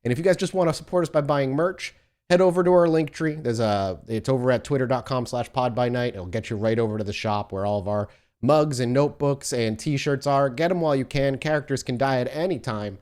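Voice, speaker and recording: A man's voice: medium pitch, a little fast, a little mellow. Casual man hosting a podcast. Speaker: game master and podcast host. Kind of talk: casual chat and announcements.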